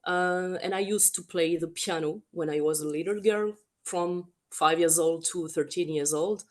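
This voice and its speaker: A woman's voice: on the low side, steady tone, moderate pace. A French woman with a French accent, a singer answering radio interview questions in a hesitant way with many fillers. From France. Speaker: guest singer.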